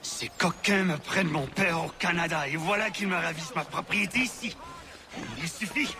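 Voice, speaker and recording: A man's voice: on the high side, fairly quick, natural voice. An actor playing a hot-tempered, vengeful French colonial man with a French accent in a video game, ranting and slipping into French. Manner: impassioned, ranting, slips into French. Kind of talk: video game cutscene acting.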